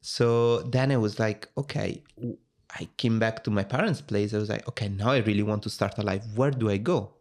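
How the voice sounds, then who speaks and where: A young man with an Italian accent talks through his story in a relaxed interview. His voice sits low, and he thinks aloud with plenty of ums and self-corrections. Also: a little fast. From Italy. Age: 20s. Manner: thoughtful, with frequent fillers and restarts. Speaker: guest. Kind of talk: casual interview chat.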